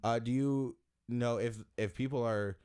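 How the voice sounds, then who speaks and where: A man's voice: medium pitch, fairly even intonation, unhurried, darkish tone, clean tone. A man hosting a podcast, chatting casually with a guest, joking and laughing often. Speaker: podcast host. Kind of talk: casual interview chat. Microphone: close studio mic.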